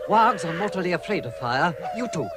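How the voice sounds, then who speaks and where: Heavy noise lies behind a wise old wizard. The voice is fairly high for a man and moves widely in pitch, grave yet kindly, with authority, in fantasy radio drama style. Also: sing-song, fairly quick. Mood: urgent, commanding. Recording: very noisy sound-effects backdrop.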